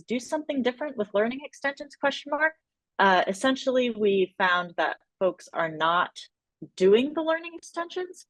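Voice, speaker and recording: A woman presenting feedback informally at a workshop, with measured, hesitant phrasing and searching pauses. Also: lowish voice, no echo, rough recording. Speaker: workshop presenter on an evaluation team.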